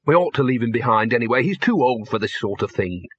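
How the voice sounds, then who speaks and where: A man's voice: mid-range voice, expressive, quick pace, husky. A man voicing a firm, disdainful wizard dean who speaks curtly. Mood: disapproving. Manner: curt, disdainful. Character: stuffy middle-aged male wizard professor, firm and sniffy. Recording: dull lo-fi, dry.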